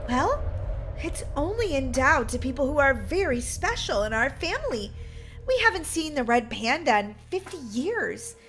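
Woman playing earnest, high-voiced mom. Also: sing-song, normal speed, fairly bright tone, faint music behind, exaggerated voice. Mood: solemn. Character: earnest, gently dramatic suburban mother. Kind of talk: children's roleplay story acting.

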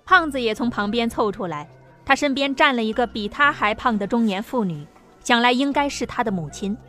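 A woman narrates a scripted audio drama. Her voice is fairly high, and soft music plays faintly behind her. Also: animated, a little fast.

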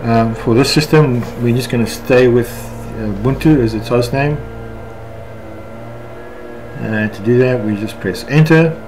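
A man with a South African accent talks through a software screencast step by step, unscripted and halting. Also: medium pitch, music in the background, poor audio. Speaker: software tutorial instructor. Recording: clear background noise, room ambience.

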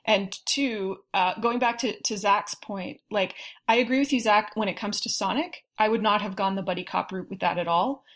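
Female panel guest, medium pitch, casual film talk, argues methodically. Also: moderate pace, hardly any pauses, fairly bright tone.

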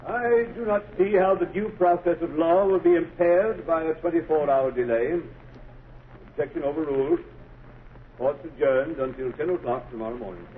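A man plays an authoritative trial judge from an old radio play. The voice is somewhat high for a man, measured and commanding, on a narrow, phone-like recording with faint noise. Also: close to an everyday voice. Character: authoritative, even-handed male trial judge. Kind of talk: 1930s radio drama acting. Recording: noisy, narrow-band 1930s radio recording.

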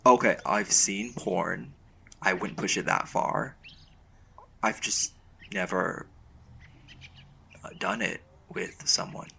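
A man performs a young, lovestruck male college mage for a boyfriend roleplay. His voice is low and heavily fried, and he talks slowly in halting, casual phrases, earnest and a little unsure of himself. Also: creaky, bright, forceful tone, a little hiss or hum, full character voice. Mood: shy, confessional. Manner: hesitant, halting, casual slangy delivery. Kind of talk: intimate boyfriend audio roleplay.

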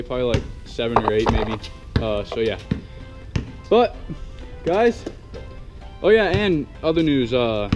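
A young man running a lawn care business, chatting casually to the camera outdoors with lots of fillers. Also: mid-range voice. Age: young adult. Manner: chatty and upbeat, many fillers and "guys". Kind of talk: casual vlog talk to camera. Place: outdoors by a house and garage, earlier in a truck. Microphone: action camera held close.

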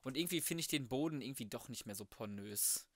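A man's voice: medium pitch, animated, fast, dry recording. A man giving casual let's play commentary as a gaming host, talking to himself in flippant, colloquial slang. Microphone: close microphone, voice-over.

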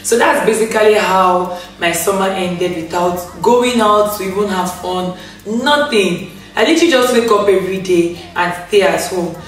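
A young woman talking casually to camera in a living room, chatty and expressive, laughing often, recovering from losing her voice. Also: mid-range voice, normal speed. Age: young adult. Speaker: vlogger. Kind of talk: casual storytime rant to camera. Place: indoor living room, on a grey sofa. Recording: echoey room, a bit far from the mic, faint music.

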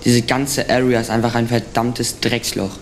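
A man's voice: mid-range voice, level tone, moderate pace, slight background noise, close to an everyday voice. A man playing a fed-up, scheming wall guard in a school radio play.

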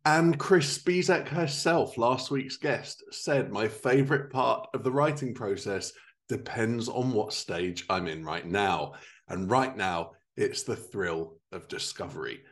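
A man in his thirties with a fairly low voice hosts a podcast. His delivery is upbeat and animated, as if presenting prepared segments to listeners. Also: slow pace. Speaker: podcast host. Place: living room at home.

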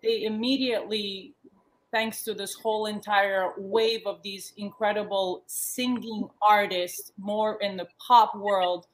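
A woman's voice: medium pitch, fairly even intonation, measured pace, rough recording. A woman in her thirties, born in the States and raised in Yugoslavia, speaking earnestly as a panel guest from her living room over a video call, with many restarts and fillers. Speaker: panel guest, former model. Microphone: laptop webcam on a video call.

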